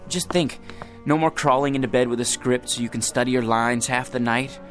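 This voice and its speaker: An actor playing a weary, devoted, long-suffering husband in a radio drama, earnest and wry. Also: male voice, medium pitch, fairly quick, soft background music, natural voice. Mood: tender, hopeful.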